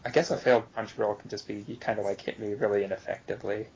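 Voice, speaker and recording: A man's voice: lowish voice, fairly even intonation, fast, gravelly, darkish tone, slight background noise. A man playing a tabletop game, casually describing his character's actions, on a dull mic. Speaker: player.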